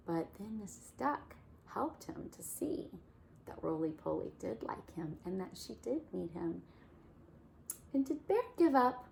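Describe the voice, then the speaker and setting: A woman reading a picture book aloud to children, gently asking questions about the pictures. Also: on the low side, gravelly, a little hiss or hum. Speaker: children's story reader. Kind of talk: storytime read-aloud for kids.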